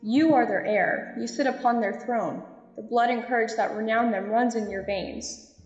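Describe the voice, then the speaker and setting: A woman's voice: on the high side, moderate pace, a little mellow, lightly acted. A woman playing a fervent bishop rousing a king in declamatory Shakespearean verse for an audio drama. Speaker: amateur actor in student audio drama. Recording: echoey hall, dull audio, music underneath.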